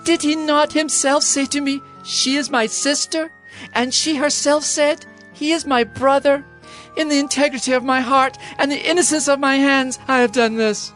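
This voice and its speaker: A man's voice: very high-pitched, steady tone, moderate pace, fairly bright tone, soft background music. A man playing an aggrieved king, impassioned and pleading, in a dramatized scripture reading. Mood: pleading protest. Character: Abimelech, aggrieved king protesting his innocence.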